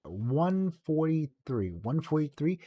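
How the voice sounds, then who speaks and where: A woman's voice: sits low, very animated, slow, noisy background. A woman presenting a showcase with casual, informal narration. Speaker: video presenter.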